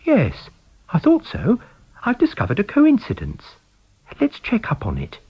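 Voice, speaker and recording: A man's voice: sits high, huge pitch swings, measured pace, husky, no echo, lo-fi sound, voice pushed up, full character voice. A man voicing a cheerful, courteous travelling salesman who plays amateur sleuth, polite and eager. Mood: eager, inquiring.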